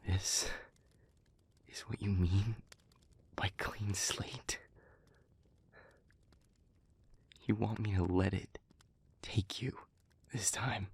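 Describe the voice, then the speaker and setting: A man playing an anguished, protective demon pleading with a former lover in an intimate audio roleplay, husky and breathy, halting and stammering in his earnestness. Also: fairly low pitch, steady tone, heavily acted. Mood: dawning dread.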